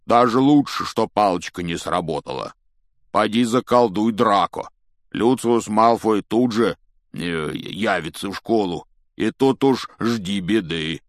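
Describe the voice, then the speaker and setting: A man acting out a huge, gruff groundskeeper giant from a storybook. He is good-natured and blunt, with folksy, teasing talk in a low-pitched, close and dry voice. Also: expressive, a little slow, dry recording. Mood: loud, consoling.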